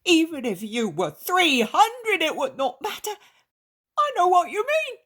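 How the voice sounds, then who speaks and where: A woman's voice: very high-pitched, big pitch swings, a little slow, fairly bright tone, pitched above the performer's own voice, full character voice. A woman voicing a repentant male innkeeper who pleads and praises fervently. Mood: joyful.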